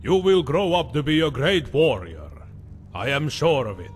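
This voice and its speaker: A man's voice: fairly high pitch, big pitch swings, moderate pace, faint music behind. A man voicing an earnest, reassuring mentor figure in a fantasy video game. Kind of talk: video game character acting.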